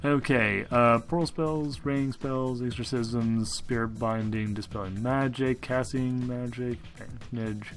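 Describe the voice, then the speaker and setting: A man's voice: medium pitch, steady tone, a little slow, faint music behind. A man running a tabletop game as game master, talking casually and reading out rules in an exasperated, instructing way.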